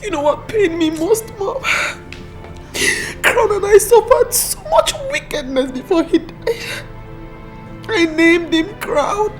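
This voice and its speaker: A woman's voice: very high-pitched, slow, faint music behind. An actress playing a young woman grieving her lost son, sobbing and pleading. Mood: tearful.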